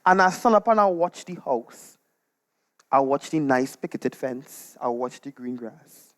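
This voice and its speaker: A Trinidadian man performs a comic monologue live on stage. His delivery is animated and dramatic, slipping into dialect as he shares scandal like a neighbourhood gossip. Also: mid-range voice, measured pace. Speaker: storytelling performer.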